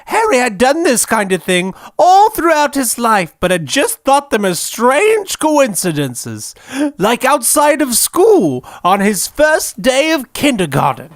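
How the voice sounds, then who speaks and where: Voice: mid-range voice, huge pitch swings, a little slow, few pauses. A storyteller narrating a scripted comic audio story.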